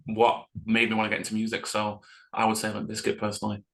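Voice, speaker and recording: A man's voice: on the low side, monotone, fast talker, gravelly, fairly bright tone. A man who sings in a band, chatting casually and informally in an interview. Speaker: band vocalist.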